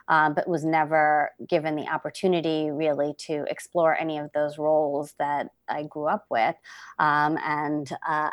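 A middle-aged woman, an actor and playwright, talking thoughtfully as a podcast guest with many pauses and restarts. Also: low voice, no echo, clean studio sound. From United States.

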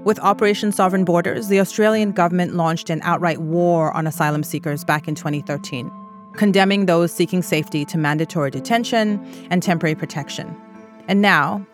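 A woman with a fairly low voice narrates a documentary podcast as its host. Her delivery is measured and serious, with music playing softly underneath. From East Africa. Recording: music bed behind narration.

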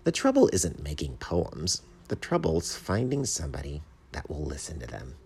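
A man's voice: extremely low pitch, wildly swooping pitch, moderate pace, a little mellow, slightly rough, exaggerated voice. A man voicing a shy, earnest little bat who writes poems, tentative and naive, in a storybook reading. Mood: bitter. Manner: tentative, naive, easily bewildered.

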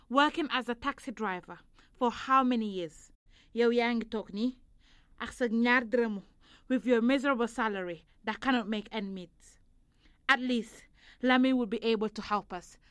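A woman acting as a proud, worn-down mother in an audio drama. Her voice is high, recorded close and dry. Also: normal speed, pauses often, fairly bright tone, clear, resonant tone, no room sound, natural voice. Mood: defiant, angry. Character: anguished, proud Senegalese mother, defiant and worn down.

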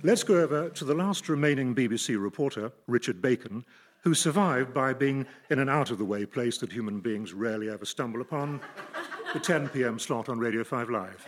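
Grave British radio newsreader played by a man, formal deadpan delivery of doom, clearly audible background noise. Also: medium pitch, a little fast, natural voice. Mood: solemn, deadpan. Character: grave male newsreader announcing the apocalypse. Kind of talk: mock radio news bulletin. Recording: noisy live theatre recording.

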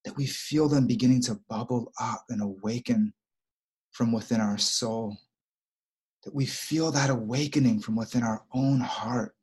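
A man leading a prayer and then talking gently and reflectively, repeating phrases for emphasis. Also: medium pitch, husky. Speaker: prayer leader. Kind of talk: spiritual chat and prayer.

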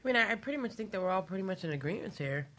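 A panelist speaking casually in an informal, slightly halting way. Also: mid-range voice, lively intonation, a bit bright. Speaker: talk show panelist. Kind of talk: casual chat.

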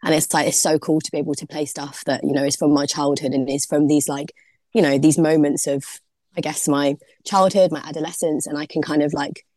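Young woman on a podcast, low voice, super chatty, dry close sound. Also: fairly even intonation, brisk, darkish tone, dry recording. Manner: chatty, heavy use of "like", laughs easily. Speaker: podcast guest, DJ. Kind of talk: casual interview chat.

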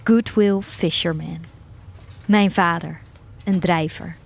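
A woman playing an earnest schoolgirl, proud of her fish farmer father, narrating a radio play in a measured storytelling way. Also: fairly low pitch, fairly even intonation, creaky. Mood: earnest, recounting.

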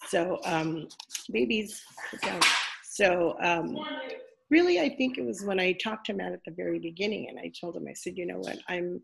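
Older woman, deep and gravelly, chatty interview guest, rambling with fillers. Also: moderate pace, slight background noise, low-quality recording. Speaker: former wrestler, interview guest. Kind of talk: casual interview.

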